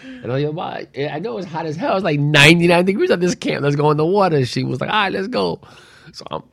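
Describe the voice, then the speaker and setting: A man's voice: on the high side, lively intonation, fast talker, creaky, a bit bright, no room sound, studio quality. A man talking casually and telling stories as a talk show host, in a playful, slangy way. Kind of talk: casual chat and storytelling.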